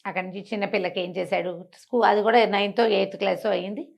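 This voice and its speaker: A woman's voice: mid-range voice, steady tone, fast talker, vocal fry. A woman telling a story conversationally, in colloquial speech, posing rhetorical questions as she goes along.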